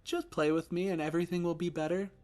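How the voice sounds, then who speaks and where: A man voicing a menacing ghostly entity that imitates a small boy, high and childlike at times and deep and threatening at others. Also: steady tone, fast, darkish tone, close to an everyday voice. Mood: pleading. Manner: childlike singsong that turns to a deep, threatening growl.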